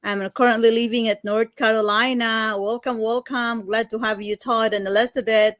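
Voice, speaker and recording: A woman from the Philippines with a Filipino accent, co-hosting a live video call and greeting viewers in a measured, welcoming way. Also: fairly high pitch, level tone, a bit bright. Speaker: co-host.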